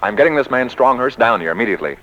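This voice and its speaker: An actor playing an excitable, bombastic American publishing executive in a 1940s radio comedy, with theatrical, grandiose emphasis. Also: male voice, mid-range voice, racing, natural voice. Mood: excited, rhapsodic. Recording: muffled 1940s radio recording.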